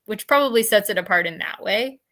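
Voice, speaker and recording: A woman's voice: medium pitch, animated, brisk, creaky, a bit bright. A woman hosting a casual book discussion, talking tentatively as she thinks aloud. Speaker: host of a book discussion livestream.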